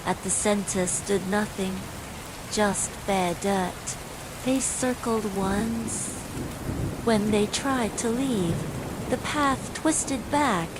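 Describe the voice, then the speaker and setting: A woman's voice: medium pitch, extremely slow. A woman reading a scripted horror bedtime story, with falling rain behind her. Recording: steady rain noise throughout.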